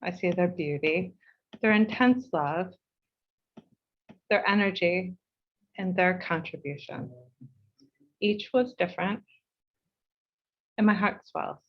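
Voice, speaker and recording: A woman's voice: lowish voice, highs cut off. Heartfelt New woman reading a speech. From United States. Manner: measured and heartfelt, slowing for emphasis. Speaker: board chair. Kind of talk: reading a prepared speech at an online meeting.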